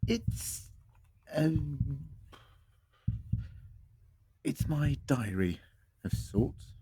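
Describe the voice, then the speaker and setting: Guy playing weary ancient time traveler doctor, raspy and dark toned, dry theatrical audio drama delivery. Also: medium pitch, expressive, drawn-out words, dry recording, heavily acted. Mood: evasive.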